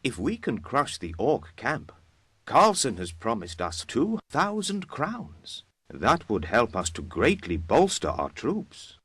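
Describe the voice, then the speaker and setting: Husky, swooping mercenary officer. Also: male voice, mid-range voice, huge pitch swings, a bit bright, dry recording, full character voice. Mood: wry, reporting. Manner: deliberate military briefing. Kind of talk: video game cutscene acting.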